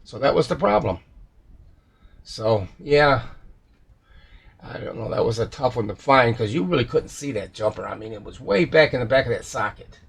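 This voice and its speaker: Gravelly, husky man talking shop. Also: medium pitch, animated, normal speed. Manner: rambling, hesitant, self-correcting. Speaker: repair technician. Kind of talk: casual unscripted repair walkthrough.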